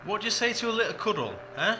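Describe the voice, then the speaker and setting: A man's voice: high voice, very animated, very fast, noisy background. A man playing a flirtatious, wheedling British servant in a comic 1940s radio play, with colloquial speech. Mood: flirtatious, grumbling. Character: cheeky, amorous wartime chauffeur-servant, coaxing and wheedling.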